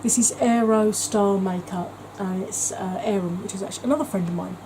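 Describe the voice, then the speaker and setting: A woman's voice: mid-range voice, fairly quick, noisy background. A woman talking in a chatty, gushing way as a beauty vlogger, with frequent ums. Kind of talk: casual vlog chat.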